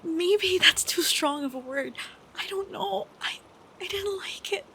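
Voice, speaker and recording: High-pitched young woman, slow hesitant delivery, soft, affectionate troubled best friend, acted by a woman, intimate audio roleplay, faint rain behind. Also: heavily acted, rough recording. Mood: upset, weary. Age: young adult. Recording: steady background noise of rain. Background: rain sounds.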